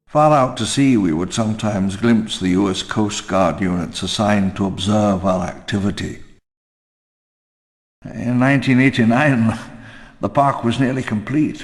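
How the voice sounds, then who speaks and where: An actor playing a wistful elderly park founder, narrating his memoirs in a measured, theatrical, ruminative way for a game audio log. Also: lowish voice. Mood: wistful, reflective. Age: older. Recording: long reverb under clear music.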